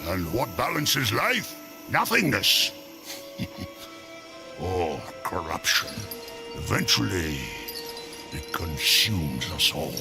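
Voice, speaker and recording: An otherworldly demon merchant from a video game, sly and world-weary. The low, gravelly voice moves slowly and theatrically, with swooping emphasis and false humility. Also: male voice, big pitch swings, over music, full character voice. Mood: ominous, wistful. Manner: slow, theatrical, cryptic, falsely humble. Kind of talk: video game cutscene acting.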